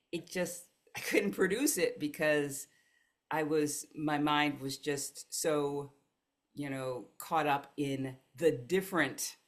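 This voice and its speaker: An American woman, a life coach, telling her story as a podcast guest in an earnest, reflective way. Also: low-pitched. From United States. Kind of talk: podcast conversation.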